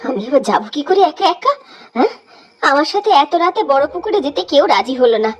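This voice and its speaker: A woman's voice: high-pitched, very animated, fairly quick. A woman playing a weary, complaining, superstitious village housewife in a horror cartoon, speaking plaintively in a rustic way over music. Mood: grumbling, then resigned, weary.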